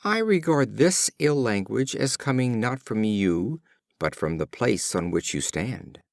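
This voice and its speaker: A man's voice: mid-range voice, expressive, unhurried, creaky, no room sound, clean studio sound. A man voicing a haughty, scornful wolf in a storybook fable, with a dignified, declamatory manner.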